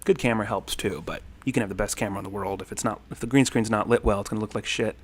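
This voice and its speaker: A man's voice: fairly low pitch, fairly even intonation, rapid-fire, husky. A man in his thirties hosting a casual livestream, rambling and thanking viewers as he reads their comments. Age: 30s. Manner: rambling, friendly, many ums and thank-yous. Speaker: livestream host.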